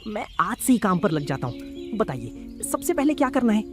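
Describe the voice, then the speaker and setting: A woman's voice: medium pitch, very animated, a little fast, natural voice. Lady doing a polite eager village boy helper for a cartoon, very up and down delivery, music under it. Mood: respectful, eager. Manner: polite, deferential, earnest. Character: eager, obedient, respectful unemployed village young man. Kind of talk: Hindi animated story dialogue.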